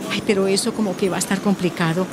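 A woman's voice: medium pitch, fairly even intonation, quick pace, noisy background, natural voice. A woman playing a humble, worried mother in a radio drama, plaintive and hesitant, with a Colombian accent. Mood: weary, uncertain. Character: anxious, humble working-class mother, fiercely protective of her daughter.

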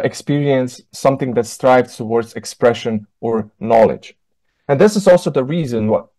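A man's voice: mid-range voice, a little mellow, no room sound. Dry-voiced Slovene man lecturing. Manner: measured, with frequent self-corrections and fillers. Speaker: keynote lecturer. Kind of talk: academic lecture. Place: indoor room, on a video call.

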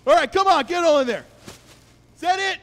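Man playing a high-pitched, foul-mouthed robber. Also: lively intonation, fairly quick, a bit bright, big-room sound. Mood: barking. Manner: bullying, profane, ranting. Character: foul-mouthed, short-tempered male fast-food robber with petty demands. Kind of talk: profane comedy sketch acting.

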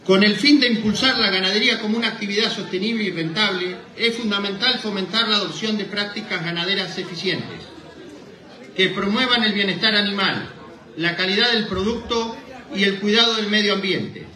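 Argentine guy reading a formal speech at a podium, pretty high voice, far from the mic in a roomy hall. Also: brisk, sharp tone, a faint crowd. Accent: Argentine Spanish. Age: middle-aged. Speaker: president of a rural association giving a speech. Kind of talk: formal speech read from paper. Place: indoor hall, at a lectern. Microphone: microphone on a boom stand, recorded from a distance. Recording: echoey hall PA, distant microphone.

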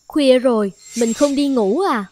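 A plaintive cartoon wife with a very high voice. She is strong and wronged, and speaks with emotional pleading over clearly audible music. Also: unhurried, darkish tone. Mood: concerned. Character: wronged, pleading strong wife with fish scales, later haunting ghost. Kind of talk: Vietnamese cartoon voice acting.

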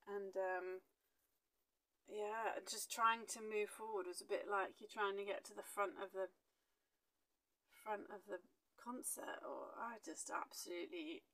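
A woman's voice: medium pitch, gravelly, a bit bright, dry recording. A woman, chatting casually to camera from inside her camper van, rambling with lots of ums and restarts. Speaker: travelling artist vlogger. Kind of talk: casual vlog chat to camera.

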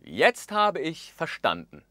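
A man in his thirties presenting a language course in a studio, speaking with expressive gestures and acting out the example phrases. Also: fairly high pitch, takes it slowly, dry recording. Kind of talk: scripted lesson narration. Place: studio with orange backdrop.

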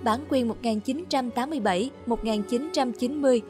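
A woman's voice: a little high, brisk, over music. A woman reading a scripted, dramatic introduction to a book as its announcer.

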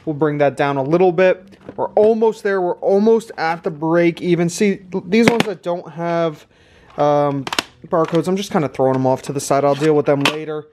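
A man's voice: a little high, moderate pace, darkish tone. A man doing casual vlog commentary as a reseller, thinking aloud as he goes. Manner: thinks aloud while tallying numbers. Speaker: reseller host of a thrifting channel. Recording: clear background noise, clicks.

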